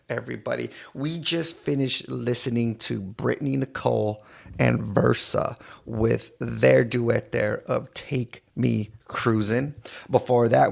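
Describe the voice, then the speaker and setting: A male disc jockey from East Los Angeles with a creaky, gravel-edged voice presents a music show. He takes his time, stretching out phrases and pausing between them like an announcer. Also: mid-range voice. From East Los Angeles, California. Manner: drawn-out, deliberate announcer phrasing with pauses. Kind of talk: radio music show hosting.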